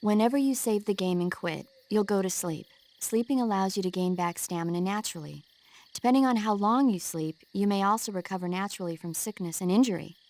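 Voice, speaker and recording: An actress playing a friendly female medic who gives gentle instructions over the radio in a video game. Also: mid-range voice, brisk, close to an everyday voice. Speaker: doctor.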